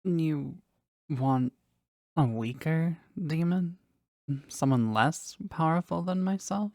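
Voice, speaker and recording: A woman's voice: low voice, lively intonation, a little mellow, dry recording, clean studio sound, heavily acted. A woman voicing a powerful, devoted, possessive demon in an audio roleplay, with formal, intimate phrasing addressed to a master. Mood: hurt, jealous. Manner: slow, formal, reverent, intimate delivery. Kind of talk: scripted roleplay audio drama, addressed to the listener.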